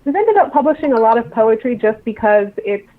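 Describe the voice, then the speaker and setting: A woman's voice: on the high side, sing-song, brisk. Woman guest on a dull call line. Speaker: interview guest, associate editor at a publisher. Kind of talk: casual interview answers. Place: remote call. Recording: muffled, band-limited remote call line.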